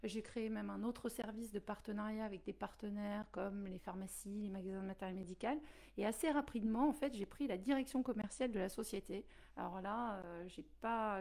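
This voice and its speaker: A French-born woman, a company director, answering interview questions in a steady, earnest way with frequent fillers. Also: medium pitch. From France.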